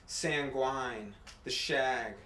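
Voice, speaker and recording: A man reads poetry at a slow, deliberate pace, setting short phrases apart with pauses. His voice sits at a middle pitch. The room is echoey, with faint noise behind him. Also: pushed and bright. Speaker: poet reading own work. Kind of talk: poetry reading. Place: apartment room at a live reading.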